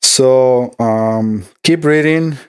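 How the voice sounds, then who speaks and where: A middle-aged man with a Costa Rican Spanish accent talks through an electronics repair step by step. His delivery is conversational and full of fillers and restarts, set at an average pitch for a man. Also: drawn-out words, clear, resonant tone. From Costa Rica. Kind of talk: explaining a repair walkthrough.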